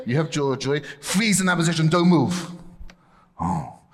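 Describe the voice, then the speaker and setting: A man's voice: a little high, big pitch swings, measured pace. A man presenting a playful object lesson to children in church, laughing often and calling out to the room. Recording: echoey hall.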